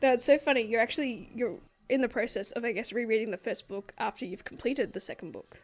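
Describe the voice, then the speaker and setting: A young woman co-hosting a book podcast, chatting casually and swearing freely in quick joking banter. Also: fairly high pitch. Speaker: podcast co-host.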